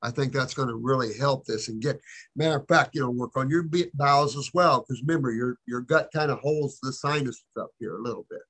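A man's voice: medium pitch, fairly quick, a bit bright, husky. A man hosting a health call-in show, giving rambling, folksy advice to callers. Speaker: host answering callers.

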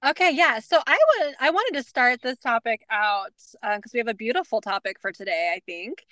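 A woman co-hosting a podcast, chatting casually with many fillers and leading a calm grounding exercise. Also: on the high side, fairly quick, no echo. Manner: bubbly, lots of fillers, leads a calm breathing exercise. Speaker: podcast co-host. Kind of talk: casual chat.

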